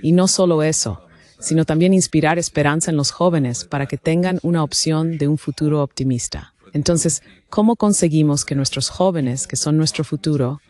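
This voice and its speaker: A man's voice: on the high side, moderate pace, darkish tone. A middle-aged man putting an earnest question to a panel as an audience member.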